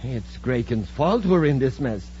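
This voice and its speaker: Sneaky male underling acted for old-time radio villainy, bickering, lively pitch, muffled noisy sound. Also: a little slow, lightly acted. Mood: spiteful. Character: sly, bickering male villain's henchman, apologetic to his boss. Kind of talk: 1950s radio serial acting.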